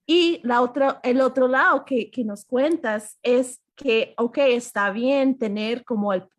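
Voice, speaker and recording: A woman's voice: on the high side, slow. Encouraging US-raised woman host. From United States. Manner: warm, encouraging, mixes Spanish with English. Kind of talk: casual conversation.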